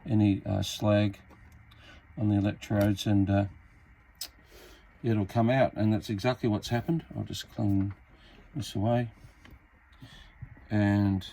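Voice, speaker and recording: Aussie guy with a lowish voice talking thru a repair as he goes, kinda halting, bit of background noise. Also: flat delivery, dark tone. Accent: Australian. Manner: halting, unscripted, step-by-step explaining. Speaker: hobbyist demonstrating a radio repair. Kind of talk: casual hands-on tutorial, talking while working. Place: indoors at a repair workbench. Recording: clearly noisy amateur workbench recording.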